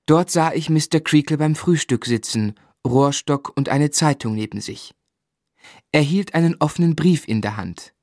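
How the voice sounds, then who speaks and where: A man's voice: a little high, darkish tone, no room sound, clean studio sound, close to an everyday voice. A male actor as a reflective, melancholy narrator. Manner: measured, reflective. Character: melancholy man recalling his sorrowful boyhood. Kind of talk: scripted literary narration.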